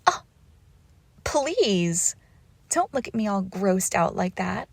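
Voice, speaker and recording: Breathy, teasing vampire girlfriend acted by a woman. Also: medium pitch, sing-song, dry recording, full character voice. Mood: playful, haughty. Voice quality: breathy, often dropping into whispers. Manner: teasing, haughty, slow and deliberate. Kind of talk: intimate romantic audio roleplay, often whispered.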